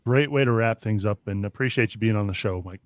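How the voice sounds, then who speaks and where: A man's voice: lowish voice, fast, dry recording, clean studio sound. A man hosting a soccer podcast, chatting casually and thanking guests as he wraps up. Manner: relaxed, wraps up segments with thanks. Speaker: podcast host. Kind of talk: casual chat.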